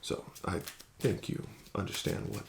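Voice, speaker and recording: A man playing a casino card dealer in an ASMR roleplay, speaking softly with whispered phrases and formal, courteous, gently coaxing patter. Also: measured pace, background noise.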